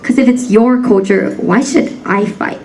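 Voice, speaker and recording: A woman's voice: on the high side, measured pace, a little mellow, far from the mic, slight background noise. A young woman from the United States performing a spoken word poem in a rhythmic, rhyming cadence, emphatic and defiant. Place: banquet hall stage.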